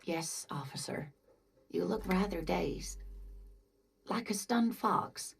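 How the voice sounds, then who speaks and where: Creaky-voiced kindly old lady. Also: fairly low pitch, animated, takes it slowly, slight background noise, full character voice. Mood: gentle, nostalgic. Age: older. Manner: gentle, doting, hesitant, calls listener dear. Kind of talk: video game dialogue acting. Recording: soft game music behind.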